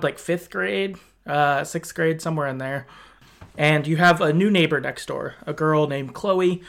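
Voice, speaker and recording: A man's voice: a little high, creaky. A man hosting a podcast, talking casually and a little hesitantly as he recaps a video game's story. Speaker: podcast host.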